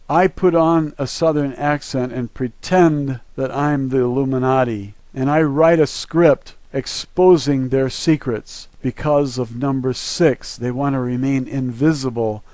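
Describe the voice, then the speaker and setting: A man's voice: mid-range voice, a little mellow, dry recording. An American man delivering an earnest, preachy solo monologue to his listeners, stressing his points like someone urging people to act. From United States. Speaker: video creator and presenter. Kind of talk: solo monologue, part reading a list, part commentary.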